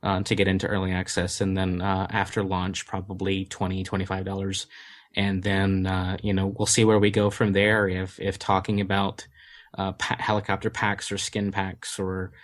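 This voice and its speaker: Low-voiced man answering with many fillers. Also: monotone, normal speed. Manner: hesitant, many fillers and restarts. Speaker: game studio community manager. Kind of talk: casual interview answers.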